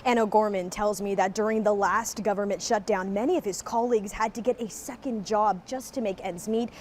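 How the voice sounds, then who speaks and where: A woman's voice: mid-range voice, steady tone, brisk, a little mellow, a little hiss or hum. An American woman delivering a broadcast news report as a reporter.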